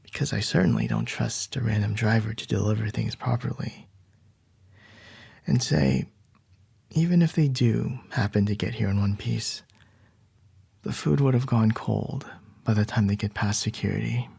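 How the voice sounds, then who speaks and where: A man voicing a commanding male CEO boss in an ASMR roleplay, speaking in soft, measured whispers. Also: on the low side, lively intonation, vocal fry, slightly rough, no echo, full character voice.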